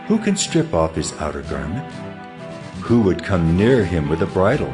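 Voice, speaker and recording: A man plays a majestic, stern God in a scripture drama. The voice is very deep and the delivery solemn and declamatory, built on challenging rhetoric. Music plays clearly behind the words.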